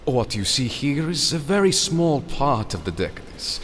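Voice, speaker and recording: A suave captain who runs a secret floating operation in an audio drama. He is formal and smoothly polite, with expressive swings of pitch. His voice rings through a large hall with a little background noise. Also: moderate pace. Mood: courteous, sly. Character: suave, courteous, secretive male ship captain, master of ceremonies.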